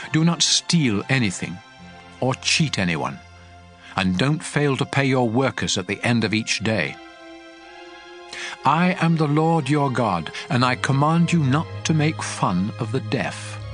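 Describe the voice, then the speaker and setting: A man's voice: mid-range voice, a bit bright. A man playing God as a stern lawgiver, solemn and commanding in a dramatized scripture reading. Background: music.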